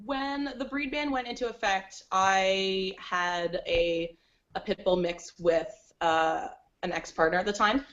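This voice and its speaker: A woman speaking as a podcast guest over a video call, chatty and quick, with lots of "like" fillers. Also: medium pitch, a bit bright, muffled recording. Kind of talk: casual interview chat.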